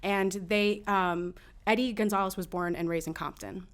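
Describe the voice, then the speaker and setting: A woman guest on an interview show, telling lively stories in a quick, slangy, animated way. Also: medium pitch, clean tone. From United States. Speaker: actress guest. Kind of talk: casual interview chat.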